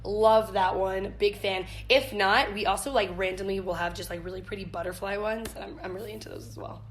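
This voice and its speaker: A woman's voice: mid-range voice, steady tone, brisk. A young adult woman answering casually as an interview guest, bubbly and peppering her talk with "like". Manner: bubbly, says "like" often. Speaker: interview guest, activities staff member. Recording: clear background noise and room ambience.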